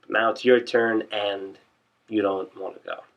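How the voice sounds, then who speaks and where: A man performing an audition monologue as a defiant young painter, speaking in an emphatic, deliberate, scornful way. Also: fairly low pitch, steady tone. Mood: scornful, challenging.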